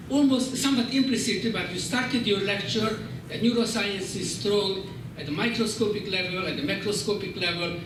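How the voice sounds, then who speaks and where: Hungarian-accented man asking from the floor. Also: sits high. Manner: long, winding question. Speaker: audience questioner. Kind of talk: conference Q&A. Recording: echoey hall, distant mic, background noise.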